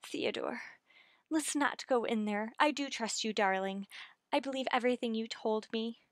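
A woman playing an earnest, anxious woman in love with a widower, in slow, pleading, melodramatic old-time radio suspense style. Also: on the high side, no echo, clean studio sound, lightly acted. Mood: remorseful, tender. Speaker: radio drama actor.

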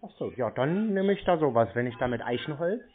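A man in a casual phone conversation, speaking in a deadpan, naively stubborn manner. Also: medium pitch, a little fast, phone-quality sound, a little hiss or hum. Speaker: host and prank call recipient.

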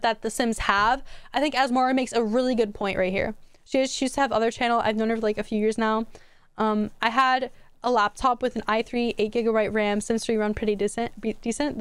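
A young woman streamer talks into a boom-arm mic at home, her higher voice smooth and crisp despite getting tired from a long stream. She rambles chattily, restarting sentences as she goes, and the sound is dry and close. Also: no room sound. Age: young adult. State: voice going hoarse from a long stream. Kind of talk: casual stream commentary. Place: home streaming room, indoors. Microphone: studio mic on a boom arm.

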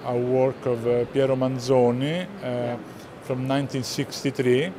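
A middle-aged man with an Italian accent explaining artworks to visitors, pausing often to search for words. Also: mid-range voice, little pitch movement. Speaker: art gallerist presenting works. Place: large indoor art fair hall. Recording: busy hall crowd noise.

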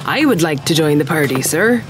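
A man's voice: fairly high pitch, expressive, a little fast, natural voice. An actor playing an eager, smitten pirate crewman in a comic audio drama, easily flustered. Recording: noisy ambience behind the voice.

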